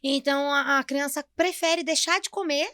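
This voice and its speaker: A Brazilian woman with a high, bright voice in a very dry recording. She speaks as an expert podcast guest, agreeable and conversational as she explains. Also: fairly even intonation, moderate pace, dry recording. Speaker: podcast guest, pediatric nutritionist.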